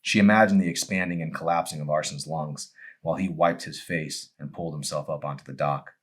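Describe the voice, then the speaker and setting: Male author, low pitch, storytelling delivery, dramatic phrasing, deliberate. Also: fairly even intonation. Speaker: author reading own novel. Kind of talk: audiobook-style narration with casual introduction.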